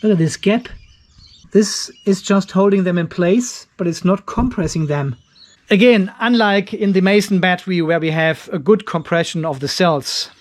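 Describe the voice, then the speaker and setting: A man's voice: sits high, moderate pace. A man hosting a hands-on battery inspection, thinking aloud and explaining what he finds as he goes. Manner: curious, musing, explaining as he goes. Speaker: channel host reviewing a home battery.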